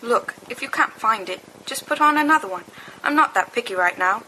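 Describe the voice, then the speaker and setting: A woman voicing giggly, stoned teenage schoolboys in an audiobook, rambling in excitable slang. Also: on the high side, fairly quick, voice pushed up. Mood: stoned excitement. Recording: noisy amateur home recording.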